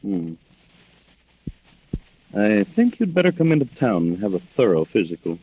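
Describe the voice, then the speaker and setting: A man's voice: on the low side, very animated, normal speed, warm tone, lo-fi sound, a little hiss or hum. A man playing an avuncular family doctor in a 1940s radio drama, soothing and a little dismissive. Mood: probing, dismissive. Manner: chuckling, soothing, patronizing. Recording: vintage 1940s radio recording.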